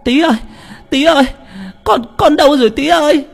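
Một người đàn ông kể chuyện vào vai người vợ quê hoảng loạn, giọng the thé, mếu máo, hay lặp từ. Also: male voice, fairly quick, vocal fry, faint music behind. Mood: tearful pleading. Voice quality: shrill. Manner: sobbing, repeating words. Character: distraught shrieking peasant wife, wailing for her child.

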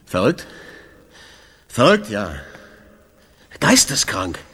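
Radio play casting: blunt, accusing jazz club owner, husky, very animated intonation, confrontational. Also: male voice, medium pitch, extremely slow, exaggerated voice. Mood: accusing, insistent. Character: bitter, accusing male jazz club owner digging for truth. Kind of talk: German radio drama acting. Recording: roomy space with long reverb.

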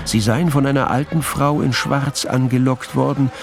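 An actor as the measured narrator of a radio crime drama, reading scripted narration. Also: male voice, mid-range voice, fairly even intonation, vocal fry, natural voice.

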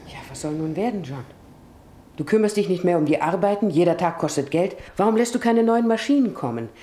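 An actress as a low-voiced, reproachful long-suffering wife. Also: female voice, lively intonation, fairly quick, warm tone, a little hiss or hum, natural voice. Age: middle-aged. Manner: pleading, reproachful, insistent. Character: long-suffering, practical, reproachful wife of twenty-five years. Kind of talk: German radio drama acting.

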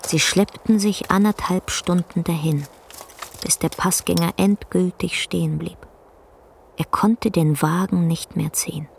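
An actress narrating a literary radio play in a measured, solemn way. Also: female voice, fairly low pitch, fairly even intonation, gravelly, slight background noise. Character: solemn storyteller of an old man and horse.

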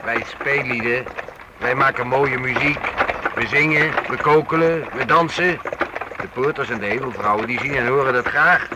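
A man's voice: mid-range voice, moderate pace, lightly acted. Man playing a proud wandering minstrel, heavy noise. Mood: bitter, lecturing. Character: proud wandering male minstrel, honest and plain-spoken. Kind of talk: period radio play acting. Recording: very noisy old radio drama recording.

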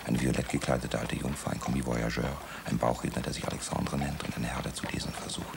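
A male narrator reads out the scene plainly in a very deep, gravelly voice. His tone rises and falls a lot, and loud hiss sits under the radio drama recording. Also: little pitch movement, fast talker. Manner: matter-of-fact scene description.

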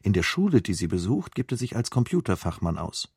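A man reading scripted radio announcements and programme summaries in a measured, formal way. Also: fairly low pitch, very animated, a little mellow, husky, no echo, studio quality. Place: dry broadcast studio.

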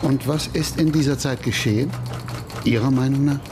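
A man's voice: mid-range voice, moderate pace, noisy background, close to an everyday voice. An actor playing a formal, firm man protectively and indignantly defending a woman in a radio crime drama.

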